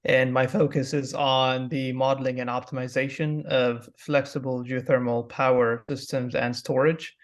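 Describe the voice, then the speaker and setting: A man's voice: medium pitch, flat delivery, moderate pace, few pauses. A young adult man introducing himself as a research panelist.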